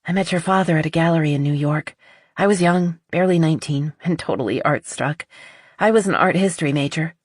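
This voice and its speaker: An audiobook narrator voicing a nervous, earnest middle-aged woman confessing, with a halting, shaky delivery. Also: medium pitch, breathy edge, dry recording, clean studio sound, lightly acted. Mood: wistful.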